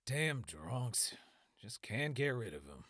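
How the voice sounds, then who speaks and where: A man acts a tough, easygoing gunslinger in a fantasy audiobook. He is gruff and folksy, his pitch moving freely up and down in a dry, close recording. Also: very animated, takes it slowly, a bit bright, dry recording, exaggerated voice. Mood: grumbling. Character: tough, laid-back male gunslinger. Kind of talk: audiobook character acting.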